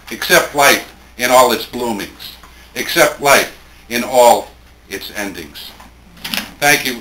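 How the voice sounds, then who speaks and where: A man speaking at a live poetry tribute in a plainspoken, measured way, between informal introduction and reading. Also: mid-range voice, pushed and bright. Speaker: speaker reading a late friend's poems at a tribute. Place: indoor room at a live poetry event. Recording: roomy, echoing event recording with faint noise.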